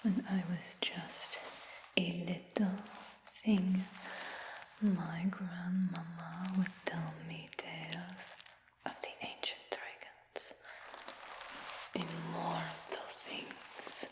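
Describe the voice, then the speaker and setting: A woman whispering softly in a fantasy roleplay, speaking gently to the listener. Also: lowish voice, flat delivery, extremely slow, background noise. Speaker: ASMR roleplay creator. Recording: roomy whisper with long reverb.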